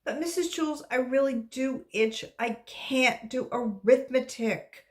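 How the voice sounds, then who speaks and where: Woman acting a whiny, itchy little schoolgirl, high-pitched. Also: unhurried. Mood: whiny, complaining.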